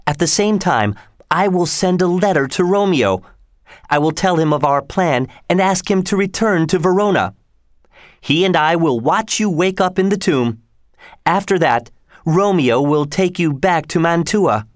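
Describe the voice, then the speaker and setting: A man reading aloud, voicing an old friar who calmly lays out a plan. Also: a little high, measured pace, dry recording, clean studio sound. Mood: instructing. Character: elderly male friar, calm and scheming.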